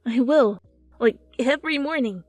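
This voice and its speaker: Woman as grieving young character, breathy, fragile, wistful, tender, ASMR fan fiction, dry close recording. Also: high voice, normal speed, darkish tone, dry recording, soft background music, pitched above the performer's own voice.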